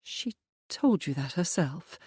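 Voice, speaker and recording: A woman voicing a composed young woman who speaks firmly, quietly and in a measured way. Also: lowish voice, very animated, husky, no room sound, clean studio sound, exaggerated voice. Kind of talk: audiobook dialogue.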